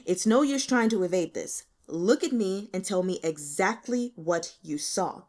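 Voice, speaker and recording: A woman's voice: fairly low pitch, lively intonation, a little slow, darkish tone. A woman voicing a blunt, stern male police investigator in an audiobook, pressing with pointed questions. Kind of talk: audiobook character dialogue.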